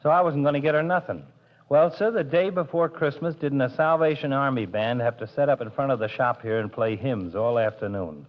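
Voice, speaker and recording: A man voicing a soft-hearted working-class barber who rambles on in plain, ungrammatical talk. The sound is a muffled old radio broadcast. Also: mid-range voice, fairly quick, lightly acted. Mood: folksy. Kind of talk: 1940s radio comedy acting.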